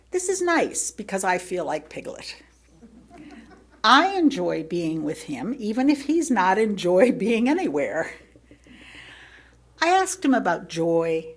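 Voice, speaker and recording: A woman reading a prepared sermon as a lay speaker, in a reflective manner with rhetorical questions and deliberate pauses. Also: fairly low pitch. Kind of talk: sermon read from a prepared text.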